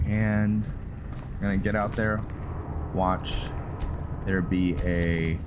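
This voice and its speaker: A man with a low voice talks through a video game at a slow pace. He rambles and thinks aloud in a casual way, with clear noise behind him. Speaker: gaming channel host. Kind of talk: casual game roleplay commentary. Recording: clearly noisy background.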